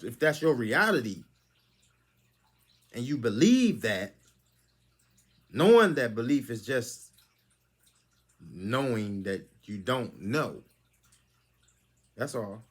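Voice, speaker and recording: A man hosting his own channel, giving a lecturing monologue that is full of rhetorical questions. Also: medium pitch. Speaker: channel host. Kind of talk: preachy monologue commentary.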